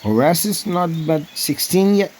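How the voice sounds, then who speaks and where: A man's voice: high voice, expressive, a little slow, darkish tone, pitched above the performer's own voice, low-quality recording. A chatty, homely village woman from a storybook, acted out by a male narrator. The voice is lifted well above his usual talk and sounds plain-spoken and folksy, with faint noise around it. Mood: regretful.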